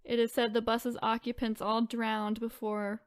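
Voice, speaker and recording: High-voiced woman, chatty. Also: monotone, measured pace, smooth, no echo. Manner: informal, chatty, frequent asides. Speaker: podcast host. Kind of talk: casual chat with reading aloud of spooky stories.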